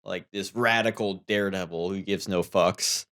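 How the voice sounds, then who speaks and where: A man's voice: deep voice, fairly even intonation, normal speed, a bit bright, smooth. A man co-hosting a podcast in casual banter, wry and nitpicking as he jokes around. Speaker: podcast co-host, video game reviewer. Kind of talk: casual banter and movie discussion.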